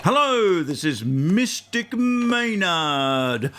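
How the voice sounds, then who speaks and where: Man as comedy-sketch con-man astrologer, lively high voice, stammering mystical sales patter, music behind. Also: drawn-out words, full character voice. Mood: bluffing, smooth. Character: bluffing male phone astrologer, smugly mystical con man.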